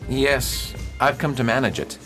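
A man playing a stern, formal missionary reverend in a radio play, speaking with quiet disapproval. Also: medium pitch, lively intonation, a little slow, faint music behind. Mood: prim. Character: stern, prim middle-aged male missionary reverend.

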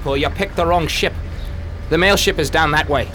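A man's voice: a little high, normal speed, pushed and bright, slight background noise. A man playing a rude, impatient ship's captain in a radio drama, curt and barking. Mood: gruff, impatient. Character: gruff, rude, impatient coaster ship captain.

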